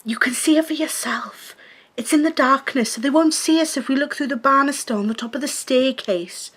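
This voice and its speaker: A woman's voice: sits high, a little fast, a bit bright. An actress playing an anxious, suspicious young woman, proper and earnest, in an old-style radio play. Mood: alarmed, urgent. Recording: noisy background hiss.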